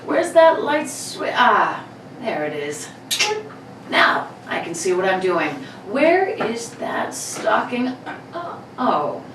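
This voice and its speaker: A woman's voice: medium pitch, big pitch swings, slow. A woman playing a cocky male burglar of about thirty in an old-time radio play reading, gloating and mock-courteous. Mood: cool, menacing. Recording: roomy, distant mic, background noise.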